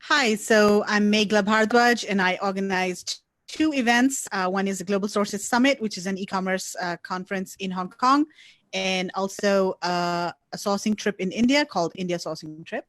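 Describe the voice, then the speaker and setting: A woman introducing herself as an event organizer on a video call, measured and careful. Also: medium pitch, a bit bright, no room sound.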